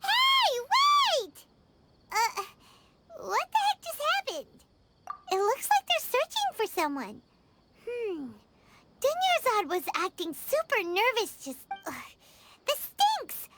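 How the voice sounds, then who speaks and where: A woman voicing a small, excitable, childlike fairy companion in a video game, with a squeaky voice, chatty and dramatic with lots of exclamations. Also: takes it slowly, pauses often, heavy background noise, exaggerated voice. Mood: alarmed, frustrated. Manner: exclamatory, speaks of herself in third person. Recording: music behind the voice.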